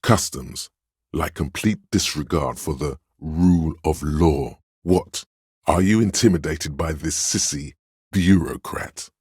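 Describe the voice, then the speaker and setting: Very deep, imperious inner voice. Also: male voice, breathy edge, no echo, clean studio sound, heavily acted. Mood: contemptuous. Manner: pompous, imperious, measured. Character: stern, domineering inner voice of authority, haughty and commanding. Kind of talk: dramatic game narration.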